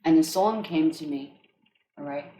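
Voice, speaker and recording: Woman, accented, energy healer, gentle check-ins, echoey room. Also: low-pitched, unhurried. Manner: gentle, checks in often with listeners. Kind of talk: describing guided healing tracks on a live call.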